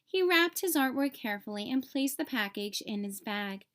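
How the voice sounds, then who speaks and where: A woman narrating a children's storybook aloud in an expressive, clearly phrased way. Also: on the high side, moderate pace.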